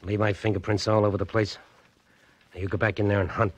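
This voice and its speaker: An actor playing a menacing, suspicious gangster heavy, curt and commanding, in a 1940s radio drama. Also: male voice, on the low side, flat delivery, quick pace, breathy edge, no echo. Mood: menacing, bossy. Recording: dull, noisy old radio recording.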